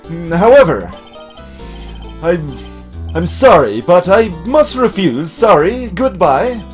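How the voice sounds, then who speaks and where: A man voicing a pompous, evasive older lawyer from a video game, theatrical and halting, with formal old-fashioned phrasing. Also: high-pitched, big pitch swings, faint music behind, exaggerated voice. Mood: curt, dismissive.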